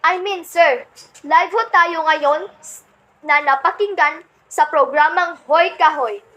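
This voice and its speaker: High-pitched young woman as a polite radio reporter. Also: takes it slowly, bright, forceful tone, exaggerated voice. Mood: polite, deferential. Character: polite live radio field reporter covering hell. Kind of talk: scripted radio play reporting.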